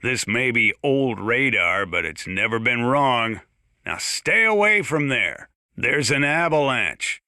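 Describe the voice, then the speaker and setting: Casting: old American sheriff for a game radio station, folksy, drily humorous, rambling, crisp forceful edge, dry booth sound. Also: male voice, medium pitch, very animated, slow pace, dry recording, exaggerated voice. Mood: wry, warning. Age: older. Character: folksy old small-town sheriff giving wry emergency broadcasts. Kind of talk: video game radio announcement acting.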